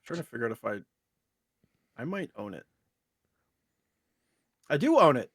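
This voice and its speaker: A man's voice: mid-range voice, big pitch swings, a little slow, a little hiss or hum. A man co-hosting a casual retro gaming podcast, speaking conversationally. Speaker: podcast co-host.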